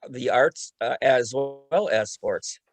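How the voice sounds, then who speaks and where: A man talking casually as a participant and organizer in a panel discussion on a video call, often halting and restarting his words. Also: medium pitch.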